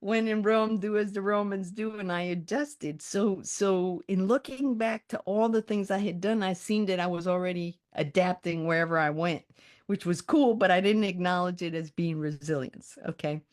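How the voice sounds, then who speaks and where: A woman's voice: mid-range voice, moderate pace, darkish tone. A woman in her mid-sixties telling her personal story in a candid, conversational way, often acting out her own thoughts. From born in Colombia, raised in the United States from age five. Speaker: writer and resilience coach.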